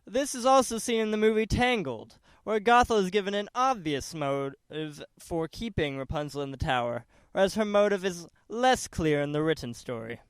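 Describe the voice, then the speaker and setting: A man's voice: sits high, animated, moderate pace, few pauses, smooth, no echo. A man narrating a documentary from a script in a formal, careful, essay-like manner. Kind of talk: scripted narration, read aloud.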